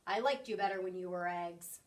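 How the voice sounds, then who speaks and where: A woman's voice: fairly low pitch, brisk, pitched below the performer's own voice, close to an everyday voice. Lady doing a grumpy loner bear from a kids book, stern and grumbly, bit far from mic. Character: grumpy solitary male bear, stern and exasperated.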